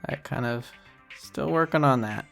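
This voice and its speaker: A man's voice: mid-range voice, unhurried, creaky, fairly bright tone. A man giving casual creator commentary, rambling hesitantly and often correcting himself. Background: episode audio and music.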